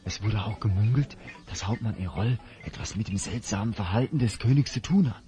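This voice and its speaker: A man's voice: fairly low pitch, a little fast, lo-fi sound, music in the background, close to an everyday voice. An actor playing a friendly but worried male innkeeper who confides rumours and warnings in a fantasy audio drama. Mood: grave, confiding.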